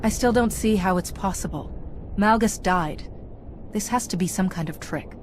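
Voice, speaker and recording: A woman's voice: medium pitch, moderate pace, natural voice. An actress playing a capable, dryly witty female commander in a video game cutscene, direct in manner, with noise behind. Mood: doubtful. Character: capable, wry female military commander hero.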